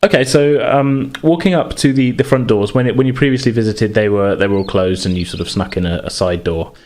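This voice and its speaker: A man narrating a tabletop role-playing game as game master, in a halting, searching delivery. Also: mid-range voice, a little mellow.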